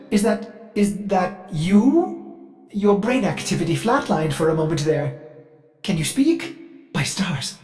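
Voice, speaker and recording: A man's voice: on the high side, a little mellow. A man voicing an anxious, devoted ship AI servant for a video game, formal and theatrical, with a brooding streak beneath the politeness. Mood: alarmed, urgent. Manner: formal, theatrical, measured, fretful. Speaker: ship's AI assistant. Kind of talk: scripted video game dialogue.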